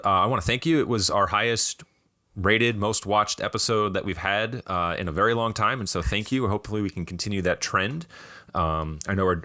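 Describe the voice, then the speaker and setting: Raspy American man, bright voice. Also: on the low side, no room sound, clean studio sound. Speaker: podcast host. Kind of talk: casual video game podcast chat.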